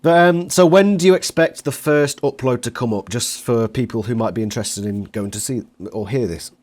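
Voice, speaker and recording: A man hosting a casual interview, chatty and quick to interject. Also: mid-range voice, a little mellow. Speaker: interviewer and channel host.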